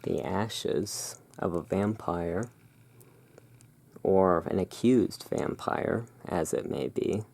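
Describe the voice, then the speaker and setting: A soft-spoken ASMR performer playing the courteous owner of a gothic curiosity emporium, speaking in a hushed, deliberate way. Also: low voice, creaky. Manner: slow, deliberate, hushed sales patter. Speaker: roleplaying shop owner, ASMR host.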